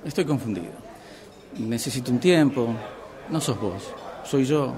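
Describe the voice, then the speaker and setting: Man playing a hapless Argentine boyfriend, animated pitch. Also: a little slow, crowd noise. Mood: apologetic. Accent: Rioplatense Argentine. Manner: sheepish, hesitant, whiny. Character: hapless, hungry, wavering boyfriend afraid of his girlfriend. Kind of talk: radio drama comedy acting.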